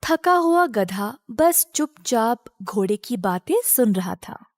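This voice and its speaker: Slow, highly animated woman storyteller. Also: no room sound, clean studio sound. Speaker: children's storyteller. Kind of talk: scripted moral-story narration.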